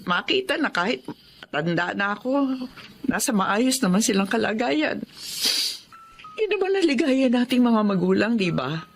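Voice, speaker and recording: A woman's voice: a little high, big pitch swings, normal speed, a little hiss or hum. An actress playing a worried older widowed mother of grown sons in a radio drama, speaking with a Filipino accent in a weary, pleading way.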